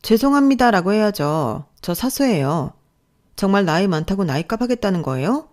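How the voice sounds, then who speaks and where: A woman's voice: mid-range voice, very animated, moderate pace, a little mellow, voice pushed up, lightly acted. A woman voicing a young coworker and mentor who is fed up, speaking in a firm, reproachful way.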